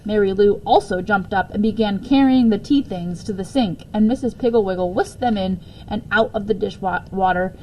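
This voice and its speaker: A woman reading a children's book aloud as an expressive storyteller. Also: mid-range voice, moderate pace, few pauses, a little hiss or hum, poor audio. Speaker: story reader.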